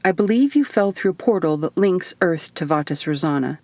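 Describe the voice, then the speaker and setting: A woman's voice: fairly low pitch, expressive, brisk, darkish tone, no echo. A woman voicing a stern, matter-of-fact male alien guardian in human form for an audiobook, explaining things firmly. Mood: measured, explaining. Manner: firm, explanatory. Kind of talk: audiobook character dialogue.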